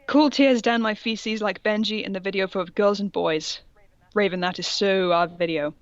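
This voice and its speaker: A woman co-host reads a story aloud with mock drama and drops in sarcastic asides. Her voice sits at a middling pitch, captured very dry, with a slightly dull, lo-fi sound. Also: steady tone, moderate pace, clean tone, dry recording. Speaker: podcast co-host reading fan fiction aloud. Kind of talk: comedic dramatic reading with casual commentary.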